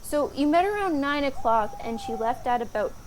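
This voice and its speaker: Woman as blunt, high-voiced, exasperated young maiden. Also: expressive, normal speed, slight background noise, rough recording. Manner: modern, casual, dry sarcasm. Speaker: voice actor. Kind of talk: comedic fairytale audio drama acting.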